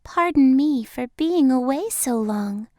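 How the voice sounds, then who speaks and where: A woman voicing a sweet, gentle and polite young girl in a storybook. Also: high-pitched, animated, slow, dry recording, clean studio sound, pitched above the performer's own voice. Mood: apologetic.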